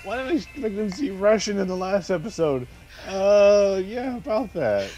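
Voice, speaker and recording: A man streaming a video game, chatting casually with relaxed, chuckling asides. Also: sits high, normal speed. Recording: game music behind.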